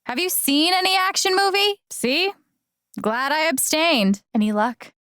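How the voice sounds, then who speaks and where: A young woman as a caring, slightly unsure video game heroine. Her voice sits fairly high and is recorded close and dry. She speaks in a casual, natural, conversational way with some hesitancy, sounding earnest throughout. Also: very animated, unhurried, a bit bright, dry recording, heavily acted. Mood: playful. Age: young adult.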